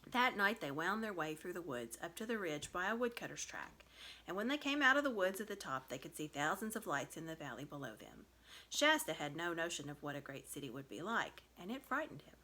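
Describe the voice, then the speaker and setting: A woman giving an expressive scripted narration of a children's story. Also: lowish voice, a little fast. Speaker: storybook reader.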